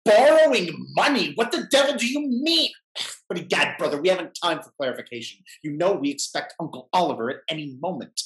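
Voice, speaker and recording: A middle-aged man playing a scheming, flustered gentleman in a period stage comedy, declamatory and exasperated. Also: on the high side, lively intonation, a little fast. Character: scheming, blustering English gentleman nephew, easily flustered. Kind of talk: theatrical period comedy acting over video call. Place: indoor room at home. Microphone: computer mic on video call. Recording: roomy video-call audio.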